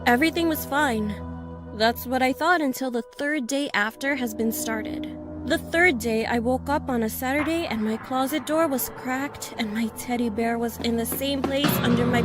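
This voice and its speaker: Woman, fairly high pitch, first-person horror narration, very loud background music. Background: prominent background music.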